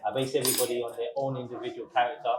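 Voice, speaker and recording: A man, a restaurant owner answering interview questions in a relaxed, measured way with many pauses. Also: medium pitch, crowd noise. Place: small restaurant. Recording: roomy echo.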